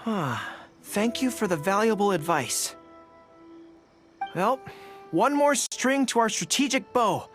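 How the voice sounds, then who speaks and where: A woman voicing an earnest, excitable young male dog-eared general in a video game, stammering when flustered. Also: mid-range voice, slow pace, pauses often. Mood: earnest, determined. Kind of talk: video game character dialogue. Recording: game music behind the voice.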